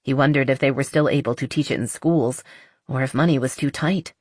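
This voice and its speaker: Low-pitched woman narrating an audiobook. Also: a little fast, darkish tone, no echo, clean studio sound. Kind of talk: scripted narration of a romance novel.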